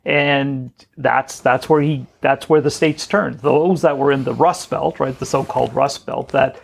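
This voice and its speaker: A man with a heavily gravelly, creaky voice talks in a casual podcast discussion. He backs up the others and lays out his own opinions. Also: mid-range voice, steady tone, a little slow. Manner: affirming, gives opinions.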